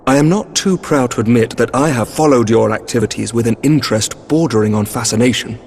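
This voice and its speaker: A man's voice: mid-range voice, a little fast, a little mellow, breathy edge. A man voicing a noble male knight commander in a game cutscene, speaking in a formal, courtly, old-fashioned way. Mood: gracious. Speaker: game character. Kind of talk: video game cutscene dialogue.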